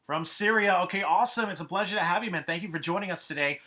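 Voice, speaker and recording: Man, host, high voice, bright and forceful, brisk emcee. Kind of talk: live showcase hosting.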